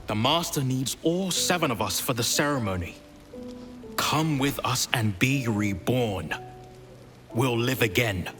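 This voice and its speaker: A man's voice: mid-range voice, lively intonation, a little slow, music in the background, close to an everyday voice. An actor playing a downtrodden, anxious male vampire spawn in a video game, wary and dramatic. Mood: urging. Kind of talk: video game cutscene acting.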